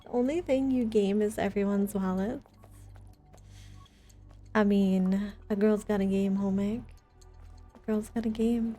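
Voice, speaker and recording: A woman's voice: mid-range voice, fairly even intonation, measured pace, long pauses, warm tone, faint music behind. A woman chatting casually as she streams a video game, with playful, teasing banter. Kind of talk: casual livestream gaming chat.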